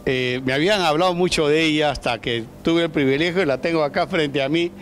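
A man's voice: a little high, fairly quick, faint music behind. An older man hosting a community TV interview, effusive and welcoming, speaking into a handheld microphone in an indoor studio. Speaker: TV show host. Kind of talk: interviewing a guest on a community TV show. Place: indoor studio set in a market hall.

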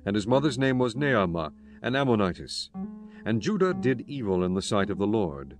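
Male Bible narrator, lowish pitch, solemn scripted read, measured phrasing, music bed underneath.

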